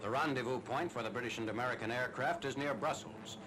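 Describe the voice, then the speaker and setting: A man with a mid-pitched voice reads a scripted 1940s military newsreel. His delivery is formal, clipped and factual. The old soundtrack is very noisy, with a heavy drone of aircraft engines behind him. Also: quick pace. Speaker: newsreel narrator.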